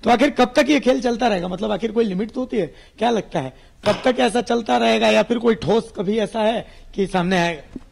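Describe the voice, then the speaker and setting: A man's voice: sits high, brisk, creaky, a little hiss or hum. A man reporting live for the news and moderating guests, explaining things in a conversational, repetitive way.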